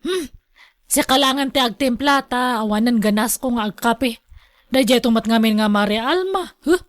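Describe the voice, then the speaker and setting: A woman plays a nagging middle-aged Ilocano mother in a radio drama. Her voice is fairly high and closely recorded with little room sound, and she scolds and grumbles with a strict, envious temper. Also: moderate pace, dry recording, clean studio sound, natural voice. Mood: resentful.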